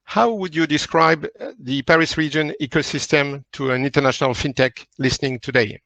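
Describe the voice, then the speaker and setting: A man's voice: a little high, steady tone, a little fast, vocal fry, a bit bright, dry recording. A man moderating a webinar panel, with brief, polite handoffs to the speakers. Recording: dull dry lo-fi audio.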